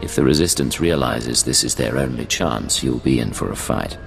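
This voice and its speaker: An actor playing a suave, cocky Imperial secret agent in a video game, with a deep gravelly voice and smooth assurance. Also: male voice, normal speed, full character voice. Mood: cool, probing. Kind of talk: dramatic video game acting. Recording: long reverb under music.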